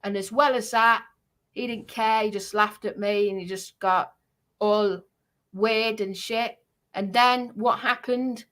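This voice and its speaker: A woman's voice: medium pitch, steady tone, takes it slowly, fairly bright tone. A woman giving a heated personal account on a livestream, speaking in an indignant, emphatic way and repeating herself for stress.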